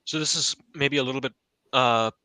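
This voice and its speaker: A man on a panel explaining his thoughts casually, in a wordy, tentative and halting way. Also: mid-range voice, sharp tone, no echo. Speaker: podcast panelist.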